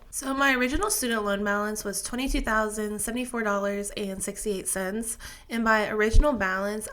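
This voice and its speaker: A woman's voice: medium pitch, fairly bright tone. A woman, sounding congested from a sinus infection, explaining her finances in a chatty, methodical way to camera. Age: 20s. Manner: chatty, methodical, with fillers and restarts. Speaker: personal finance vlogger. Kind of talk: casual explanatory talk to camera.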